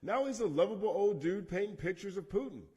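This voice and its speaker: A man's voice: high-pitched, lively intonation, fairly quick, warm tone, slightly rough, dull audio. A man speaking in emphatic, declarative sentences in a clip played on the stream.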